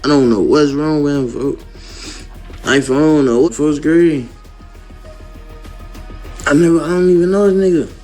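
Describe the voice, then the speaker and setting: A man's voice: on the high side, steady tone, moderate pace, pauses often, mellow, soft background music. A man ranting casually, baffled and exasperated. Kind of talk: casual rant.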